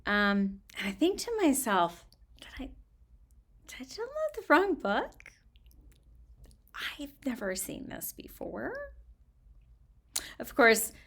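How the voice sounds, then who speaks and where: A woman storyteller talking casually and reading aloud with expressive, dramatic emphasis. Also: lowish voice, vocal fry.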